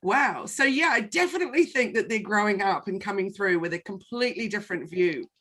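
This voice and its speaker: A woman in her forties, a guest in a conversation, sharing personal anecdotes. Her delivery is lively and expressive, and she tells her stories with animation. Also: medium pitch, fairly quick.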